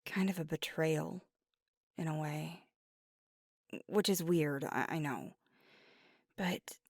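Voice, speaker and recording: A woman's voice: deep voice, slow pace, dry recording, studio quality, full character voice. A woman voicing a devoted, earnest werewolf girlfriend in an intimate ASMR roleplay, speaking sincerely and a little hesitantly. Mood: passionate, conflicted. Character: devoted, earnest young werewolf girlfriend weighing big news.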